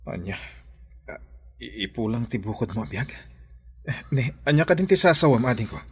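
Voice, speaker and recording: An actor playing a protective, concerned older brother with a husky voice and an Ilocano accent in a radio drama. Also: male voice, medium pitch, very animated, moderate pace, darkish tone. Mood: alarmed. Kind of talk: Filipino radio drama acting.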